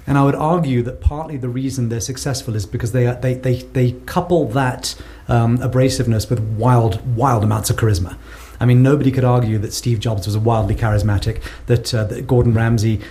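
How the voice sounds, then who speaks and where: A man's voice: medium pitch, mellow. A South African man answering interview questions in a thoughtful way, often repeating words as he builds a point. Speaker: guest researcher. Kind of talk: conversational podcast interview.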